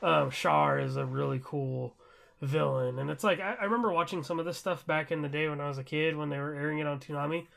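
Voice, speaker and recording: Young male podcast co-host, slightly high and very creaky, rambling enthusiastically in casual conversation. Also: fast, a bit bright. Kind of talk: casual chat about shows and anime.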